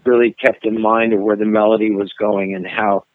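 A man's voice: lowish voice, level tone, moderate pace, no room sound. A middle-aged man, a singer, talks casually in an interview, hesitating and restarting often. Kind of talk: casual interview over a phone line. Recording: dry tinny phone line.

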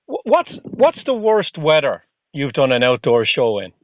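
An Irish man asks questions politely, pausing on little fillers as he feels his way to the point. His voice sits a touch high and sounds tinny and dry, like a phone line. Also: wildly swooping pitch, dry recording. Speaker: interviewer. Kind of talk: interview questions.

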